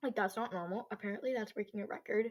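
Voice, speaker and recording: A woman's voice: medium pitch, fast talker. A teenage girl hosting a casual solo podcast, chatting in a rambling, slangy way. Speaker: podcast host. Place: own bedroom.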